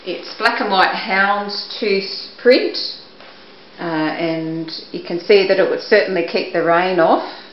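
A middle-aged woman shows auction items with a measured, descriptive delivery. Her voice sits slightly low and moves slowly. It is picked up by a camera microphone in a room at home, with a dull, muffled sound. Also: roomy sound, slight background noise. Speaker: auction presenter. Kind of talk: product showcase, descriptive talk. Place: indoors, living or dining room at home. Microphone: camera microphone a few steps away.

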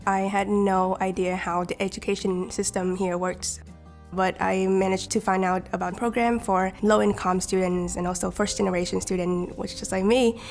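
20s woman from vietnam, native vietnamese, fry-heavy voice, casual with lots of likes, soft music under. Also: mid-range voice, little pitch movement, normal speed. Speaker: scholarship recipient. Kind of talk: documentary interview. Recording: light music behind.

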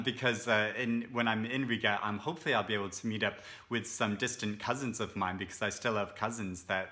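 Bright-voiced man rambling to camera. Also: on the low side. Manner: rambling, many fillers and restarts. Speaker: book vlogger. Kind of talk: casual talk to camera. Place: indoors, seated on a sofa.

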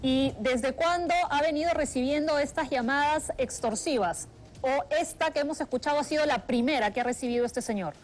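A woman's voice: sits high, a little fast, soft background music. A woman anchoring the news from the studio, asking short, direct questions.

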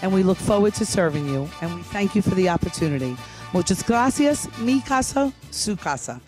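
A middle-aged woman giving an emphatic promotional pitch for a restaurant into a handheld microphone. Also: expressive, fairly quick, loud music behind the voice. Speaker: restaurant spokeswoman. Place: restaurant dining room by a bar.

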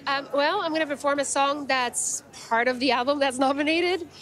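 A woman from Guatemala in her thirties, talking into a handheld mic held out by an interviewer on an awards red carpet. Her voice sits a bit high, and her answers come easy and warm with small laughs. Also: moderate pace. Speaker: nominated musician guest.

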